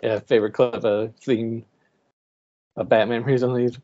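A man's voice: medium pitch, level tone, normal speed, mellow. A man in his late thirties co-hosting a podcast, telling stories in casual group chat and laughing as he goes. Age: 30s. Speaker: podcast co-host. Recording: dull lo-fi sound.

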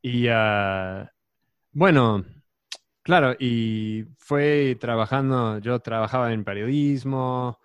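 A man from Texas, on as the guest in a relaxed chat. He speaks haltingly, stopping and restarting as he hunts for each word. His voice is recorded close and dry, with no room sound. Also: mid-range voice, smooth, dry recording. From Texas, United States. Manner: halting, searching for words. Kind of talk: casual podcast chat.

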